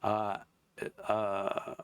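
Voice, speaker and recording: A deep-voiced man talks casually to his viewers about game books, wandering off on tangents and filling pauses with ums and uhs. His low voice sits close and dry on a clip-on wireless mic, with almost no room sound. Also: completely flat delivery, smooth, no room sound. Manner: meandering, many fillers and restarts. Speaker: YouTube channel host reviewing tabletop game books. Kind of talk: casual rambling chat to camera.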